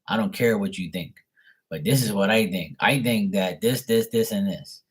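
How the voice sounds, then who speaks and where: A man with a husky voice talking casually on a live tarot reading stream, blunt and opinionated, rambling and swearing freely. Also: on the low side, moderate pace.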